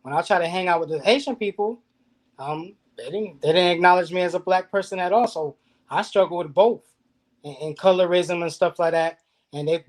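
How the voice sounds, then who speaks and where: A man as a guest in a casual discussion, speaking earnestly and restarting some phrases. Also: a little high.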